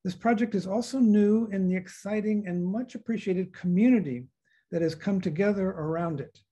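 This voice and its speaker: A man in his sixties giving formal introductory remarks from notes as an event host, somewhat halting, on a video call from an office. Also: sits high, mellow. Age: 60s. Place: office with bookshelves, indoors. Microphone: video call computer microphone.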